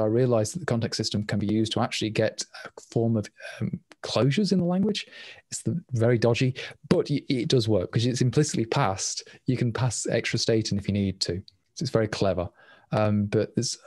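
A man's voice: medium pitch, a little mellow, dry recording. Man answering questions, dry sound. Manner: quick, rambling, frequent restarts. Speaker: language designer presenting at a meetup. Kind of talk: casual Q&A answers. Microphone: webcam on a video call.

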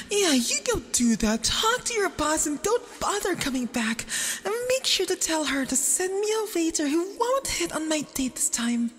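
A woman's voice: high voice, full character voice. A woman playing a nervous, jealous, obsessive young femboy boyfriend in an ASMR roleplay, with a flustered, stammering, possessive manner. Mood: jealous, indignant. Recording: long reverb, doubled voice track.

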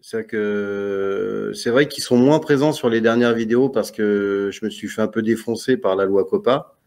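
A man's voice: on the low side, normal speed, a little mellow. A man, a guest on a live video call, talking hesitantly with many fillers and jokes. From Paris region, France. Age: 40s. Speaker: YouTube channel guest. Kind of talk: casual live video chat. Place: indoor room with arcade cabinet.

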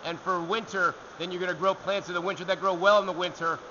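A young man hosting a gardening show, answering viewer questions to camera outdoors in a rock alcove, energetic and gesturing as he talks. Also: high voice, fast, highs cut off, a little hiss or hum. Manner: energetic, talks with his hands.

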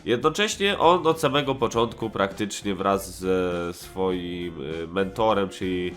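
A man's voice: sits low, a little slow, a bit bright. A man giving a casual, rambling review, hesitant and slangy, often correcting himself. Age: 20s. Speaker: host of a nostalgic cartoon review show. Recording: music playing behind the voice.

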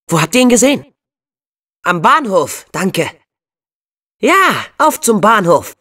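Spirited teen boy adventurer for a video game: earnest, eager, animated, measured pace. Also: high-pitched, exaggerated voice.

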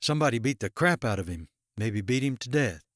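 A man voicing a gruff, weary male deputy, terse, blunt and muttering, in an audiobook. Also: medium pitch, lively intonation, a little fast, fairly bright tone, dry recording, clean studio sound, natural voice. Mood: grim, muttering. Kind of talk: audiobook character dialogue.

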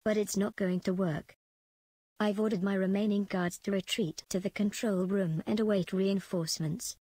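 A woman's voice: mid-range voice, fairly even intonation, moderate pace, dark tone, breathy edge, no room sound, close to an everyday voice. An actress playing a ruthless female prison commandant in a space empire, dictating clipped official log entries for a video game. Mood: wary, calculating.

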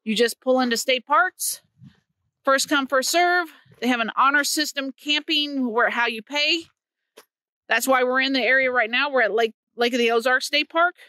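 A woman's voice: high voice, moderate pace, bright, forceful tone, dry recording. A woman in her fifties from Missouri, chatting earnestly to her phone camera as she walks through winter woods. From Missouri, USA. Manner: chatty, earnest storytelling while hiking. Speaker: vlog host. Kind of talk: casual walking vlog. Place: outdoors in winter woods by a creek. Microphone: phone held at arm's length.

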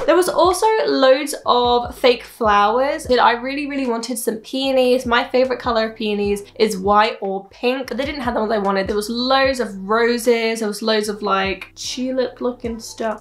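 A bubbly young Englishwoman in her twenties talks through a haul in a rambling, self-deprecating way. Her voice sits slightly high. She is recorded on a camera mic while sitting on her living room sofa. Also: a bit bright. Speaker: vlogger. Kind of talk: chatty haul video.

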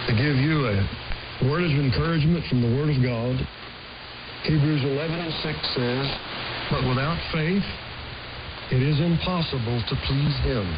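A man, a pastor, giving an earnest, deliberate devotional message with pauses between phrases over shortwave radio. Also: medium pitch. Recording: muffled shortwave radio with heavy hiss.

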